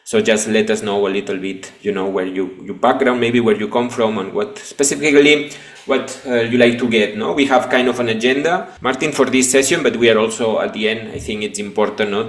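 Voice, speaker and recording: A man with as his hosts a webinar as a business coach, talking haltingly with many restarts and tag questions. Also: lowish voice. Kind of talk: live webinar conversation. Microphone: video call. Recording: echoey room.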